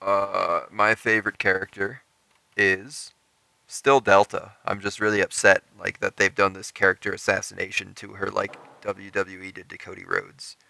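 Gravelly, sharp-edged young man chatting. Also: sits low, little pitch movement, normal speed, no echo. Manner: irreverent, slangy, joking. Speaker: podcast co-host. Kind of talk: casual chat.

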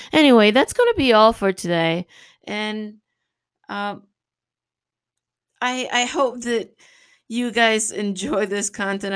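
A woman's voice: medium pitch, clear, resonant tone, dry recording, clean studio sound. A woman who speaks Spanish as her first language, hosting a casual, encouraging podcast monologue full of fillers and little laughs. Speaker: podcast host.

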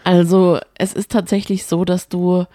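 A woman's voice: on the low side, level tone, a little slow, dark tone, dry recording, studio quality. Teasing woman in casual chat. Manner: teasing and playful, laughs often. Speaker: podcast co-host.

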